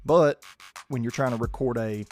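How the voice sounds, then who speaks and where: A man, hoarse and losing his voice, hosting a casual sports podcast and keeping his volume down. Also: mid-range voice, normal speed, darkish tone, soft background music. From South Georgia, US. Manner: restrained, holding his volume down. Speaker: podcast host.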